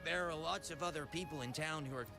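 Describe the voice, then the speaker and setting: A man's voice: fairly high pitch, quick pace, over music, natural voice. An actor playing a concerned townsman in a game.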